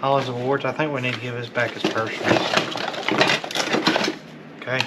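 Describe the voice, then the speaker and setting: A man's voice: mid-range voice, flat delivery, normal speed. A man, chatting offhand as he sorts through a storage unit. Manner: drawling, offhand, calls things slick. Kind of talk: casual chat while unboxing. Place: metal storage unit, indoors. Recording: loud clanking background noise.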